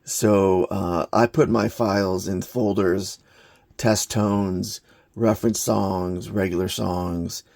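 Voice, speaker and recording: A man giving an unscripted hands-on gadget review in a halting, plain-spoken, matter-of-fact way. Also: low voice, steady tone, gravelly, darkish tone, no room sound. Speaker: product reviewer, hobbyist maker.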